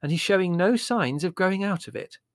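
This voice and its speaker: Storybook mother, played by a man: middle-aged, lifted pitch, gentle, hesitant, soothing and a little vague. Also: expressive, brisk, no echo, studio quality. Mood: gently eager. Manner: hesitant, placating, mildly doubtful. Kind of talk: audiobook character voice.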